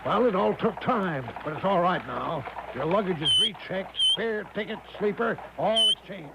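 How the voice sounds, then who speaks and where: An actor playing a brash, overbearing retired American army major in a radio drama, grandiose, boastful and coaxing in manner. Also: male voice, high-pitched, lively intonation, normal speed, gravelly, heavy background noise. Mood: hurried, bossy. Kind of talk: theatrical radio drama acting. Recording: dull vintage radio recording with music.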